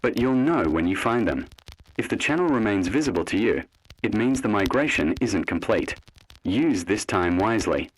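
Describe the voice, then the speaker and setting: A man reading a scripted analog horror narration in a measured, cryptic way, with short phrases and deliberate pauses. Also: fairly low pitch, lively intonation, low-quality recording. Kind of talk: scripted horror narration, ominous second-person address.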